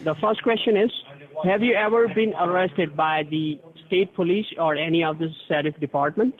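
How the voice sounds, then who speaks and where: A man's voice: sits high, moderate pace. A man calling by telephone, giving a formal, official-sounding scripted spiel with step-by-step instructions. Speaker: phone scam caller posing as a tax officer. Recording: tinny phone line with crowd chatter.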